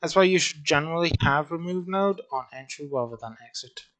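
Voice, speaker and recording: A man's voice: a little high, fairly quick, fairly bright tone. A man casually walking through a program on screen, chatty and rambling, his voice just back after losing it. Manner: chatty, rambling, self-correcting. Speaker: software tutorial creator. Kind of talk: casual screen-recorded walkthrough.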